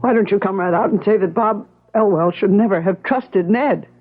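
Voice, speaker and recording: A woman's voice: medium pitch, normal speed, natural voice. An actress playing an anxious, bewildered wife of a missing man in old-time radio drama style, earnestly pressing with questions. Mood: pressing, suspicious. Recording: muffled vintage radio broadcast.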